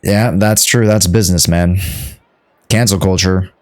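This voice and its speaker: A man's voice: low-pitched, steady tone, a little slow, a little mellow. A man streaming a game playthrough, casually reading text aloud and commenting on it as he goes. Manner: casual, reactive, echoes lines.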